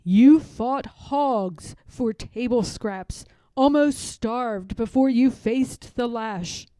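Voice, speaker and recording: A woman reading a storybook, voicing God as a calm, tender, commanding fatherly presence who speaks slowly and reassuringly. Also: fairly high pitch, no echo. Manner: slow, solemn, reassuring. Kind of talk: storybook read-aloud.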